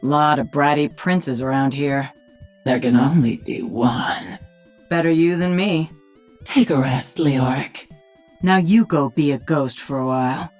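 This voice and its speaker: A battle-hardened queen of an alien swarm in a video game. Her voice sits low and husky, and she takes her time over each line. She commands and mocks with dry, tough sarcasm. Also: unhurried, a little mellow, faint music behind. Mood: gloating, taunting. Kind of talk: video game character acting.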